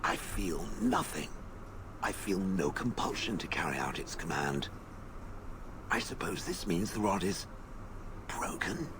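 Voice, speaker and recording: An ancient stone golem from a fantasy video game, very low and full of gravel. The haughty, formal delivery is drily contemptuous yet swings widely in pitch, over clearly noisy game audio. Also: a little slow, pauses often. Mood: musing, puzzled. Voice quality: deep, gravelly. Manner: haughty deadpan, formal phrasing, addresses people as "it". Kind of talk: video game character acting.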